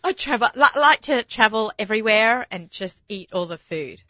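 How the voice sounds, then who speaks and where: A chatty woman with an Australian accent chats and laughs on the air, her bright voice quick to jump in. The sound is dry and dull, like a close studio mic on radio. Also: mid-range voice, expressive, no echo. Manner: chatty, laughs often, repeats words. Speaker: radio host. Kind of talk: casual radio chat.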